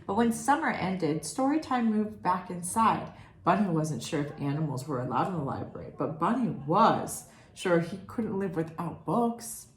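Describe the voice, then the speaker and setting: A woman reading a children's picture book aloud expressively, pausing to comment and put questions to her listeners. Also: lowish voice, big pitch swings. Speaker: read-aloud storyteller. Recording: roomy echo, faint background noise.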